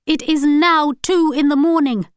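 A woman reading a story aloud, voicing a furious wife and mother who shouts and screams at her husband. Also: steady tone, measured pace, dry recording, studio quality. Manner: shrill, screaming.

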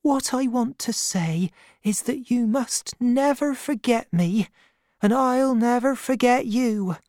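A woman voicing a devoted, humble servant woman with a hushed, earnest, affectionate manner in a storybook. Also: on the high side, slow, no echo, clean studio sound, pitched above the performer's own voice. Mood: tender, earnest.

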